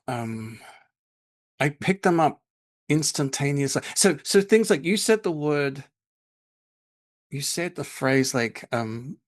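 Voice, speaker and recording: A man hosting a podcast, with a mix of North American and English accent, talking casually and repeating words as he searches for them. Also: a little high. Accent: mixed North American and English. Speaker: podcast host. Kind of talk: casual conversation.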